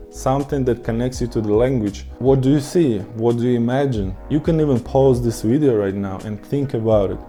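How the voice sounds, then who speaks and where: A man's voice: mid-range voice, dark tone. A man whose first language is Russian, explaining his language-learning method to camera in an upbeat, instructive way. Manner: upbeat, instructive, emphatic lists. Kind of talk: scripted talk to camera.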